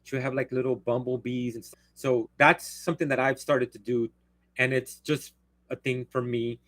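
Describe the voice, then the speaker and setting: A man's voice: medium pitch, steady tone, normal speed, fairly bright tone, no echo. Rambling man, dry close sound. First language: Spanish. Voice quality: rambling, self-interrupting, blunt. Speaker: livestream host. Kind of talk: casual live-stream chat.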